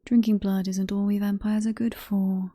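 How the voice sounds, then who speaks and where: A woman with a British accent playing a possessive, seductive vampire professor in a soft, breathy whispered ASMR roleplay, coaxing and teasing with condescending affection. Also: mid-range voice, little pitch movement, normal speed, lightly acted.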